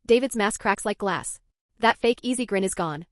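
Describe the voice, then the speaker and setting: A woman narrating a scripted first-person story with tense, dramatic storytelling. Her voice sits at an ordinary middle pitch. The sound is dry and close, with no room echo. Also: expressive, moderate pace.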